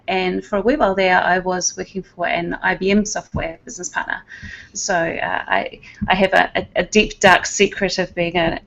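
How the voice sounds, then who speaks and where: A middle-aged woman with a New Zealand accent talks in a relaxed, chatty way, her voice low and gravelly with plenty of fry. The audio is dull and lo-fi, like an online call. She sounds easygoing and pokes fun at herself. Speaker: guest, IT company owner. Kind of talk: casual chat.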